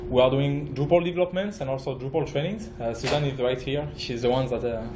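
A man from France with a French accent, giving a conference talk as a project manager, halting, with many fillers and restarts. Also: mid-range voice, fairly even intonation, echoey room, background noise. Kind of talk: conference presentation. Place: conference room.

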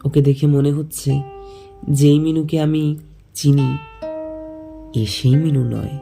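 Slow, creaky male storyteller. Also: fairly high pitch, fairly even intonation, mellow, faint music behind. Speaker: narrator and lead character. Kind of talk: scripted first-person story narration.

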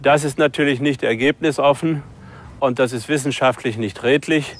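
A man's voice: mid-range voice, fairly even intonation, normal speed, a little hiss or hum. A man speaking as a professor, arguing formally in a documentary interview.

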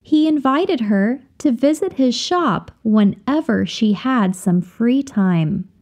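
A woman reading example sentences slowly and carefully, repeating each phrase for learners to copy. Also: fairly high pitch, lively intonation, darkish tone. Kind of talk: slow, clear drill reading of example phrases.

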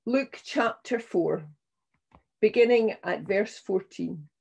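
An older woman minister reading scripture and preaching in a solemn, measured, deliberate way. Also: mid-range voice, animated, vocal fry, a little mellow, slightly rough. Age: 60s or older. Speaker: minister leading a church service. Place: small room at home, indoors.

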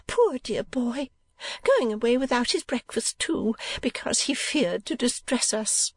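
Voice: medium pitch, lively intonation, unhurried, husky, dry recording, pitched above the performer's own voice. A performer voicing a fond, fretful middle-aged mother from a Victorian novel, sentimental and plaintive.

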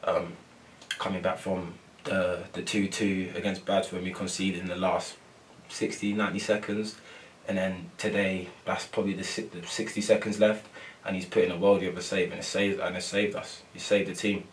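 Low, flat-voiced young footballer. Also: male voice, normal speed, distant mic, a little hiss or hum. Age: young adult. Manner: soft-spoken, many ums and repeated phrases. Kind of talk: post-match interview. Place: press room before a sponsor board.

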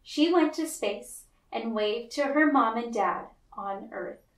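A woman reading a picture book aloud to children, with deliberate, expressive storytelling narration. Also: on the high side. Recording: roomy, echoey, distant mic.

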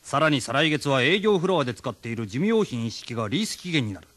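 A male actor playing a gruff but patient middle-aged department manager in a radio comedy drama, explaining things with authority. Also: mid-range voice, very animated, quick pace, fairly bright tone, no room sound. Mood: firm, explaining.

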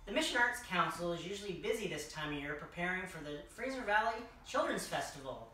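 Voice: mid-range voice, lively intonation, fast, bright, forceful tone. A young adult hosting a children's story time, reading a picture book aloud as a costumed king. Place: large furnished room indoors. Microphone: distant camera microphone. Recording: echoey room, distant mic, faint noise.

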